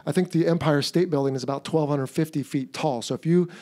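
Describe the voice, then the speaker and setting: A man's voice: a little high, quick pace, a little mellow, no room sound, clean studio sound. A middle-aged man hosting a podcast, a retired Navy pilot, chatting in a friendly, upbeat way with his guest. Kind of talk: casual interview hosting.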